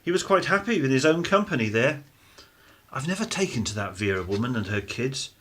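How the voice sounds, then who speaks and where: A man's voice: mid-range voice, big pitch swings, fairly quick, a bit bright. A man acting in a radio drama as a bitter, argumentative working-class husband and father who distrusts everyone. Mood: suspicious, grumbling. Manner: blunt, argumentative, mild swearing.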